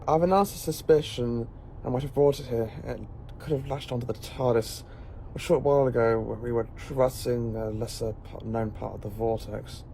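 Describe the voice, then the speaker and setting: A man's voice: mid-range voice, steady tone, moderate pace, gravelly, warm tone, a little hiss or hum, close to an everyday voice. A man playing an eccentric, curious time-travelling professor in an audio drama, wry and musing. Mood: uneasy, musing. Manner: wry, musing, British idiom.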